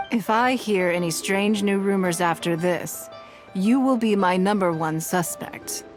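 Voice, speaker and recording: A woman voicing a composed, wealthy woman leader in a game, measured and authoritative. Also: mid-range voice, lightly acted. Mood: exasperated. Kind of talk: game voice acting. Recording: game dialogue over faint music.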